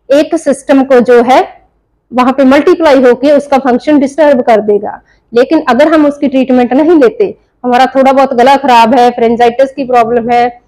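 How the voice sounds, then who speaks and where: High, mellow-voiced woman tutor. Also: poor audio. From India. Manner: explains in Hindi mixed with English terms, asks and answers own questions. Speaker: nursing tutor. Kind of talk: explanatory lecture.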